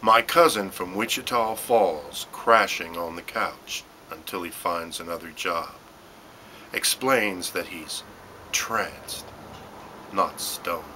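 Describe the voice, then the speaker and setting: Deep, slow, sharp-edged male poetry reader. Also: a little hiss or hum. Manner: deliberate, short phrases with pauses and emphatic stresses. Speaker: poet reading own work. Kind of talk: dramatic spoken-word poetry reading.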